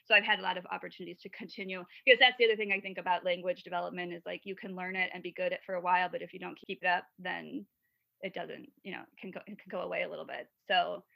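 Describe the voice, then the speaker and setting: Flat, gravelly-voiced woman from Wisconsin. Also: fairly low pitch, racing, no room sound. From Wisconsin, USA. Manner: rambling, with many fillers and restarts. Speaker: podcast guest, mother of three. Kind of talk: casual interview storytelling. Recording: dull lo-fi sound.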